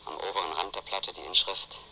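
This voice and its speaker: An actor playing a brooding, insistent former magazine editor in a measured, introspective German radio play style. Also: male voice, sits low, steady tone, background noise. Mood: brooding, observant. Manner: measured, literary, introspective narration.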